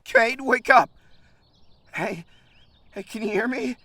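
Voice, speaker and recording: A man's voice: very high voice, slow, pushed and bright, no echo, full character voice. An actor playing a panicked, protective man in an audio drama, with urgent, emotional delivery. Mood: panicked, pleading. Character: panicked, protective male friend searching and pleading.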